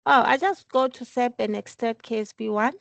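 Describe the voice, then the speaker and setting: A woman explaining work procedures in a meeting, halting at times and repeating her phrases. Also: on the high side, steady tone, gravelly, a bit bright, no room sound. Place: recorded online work meeting.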